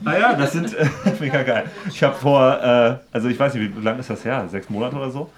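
Young guy just chatting on a podcast, slangy, kinda halting, regular pitch. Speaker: podcast co-host. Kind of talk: casual chat among friends.

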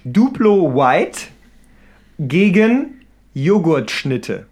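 A man's voice: a little high, sing-song, drawn-out words, a little mellow. A man hosting a quiz game among friends in playful, informal, casual chat. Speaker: podcast host leading a party quiz game.

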